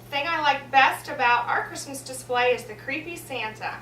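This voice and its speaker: Thirty-something mom, home video intro, high bright voice, unsure delivery, roomy distant sound. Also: female voice, normal speed. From Atlanta, Georgia, USA. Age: 30s. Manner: unsure, searching for words. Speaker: mother interviewed about home Christmas lights. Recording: roomy echo, from some distance, faint hum.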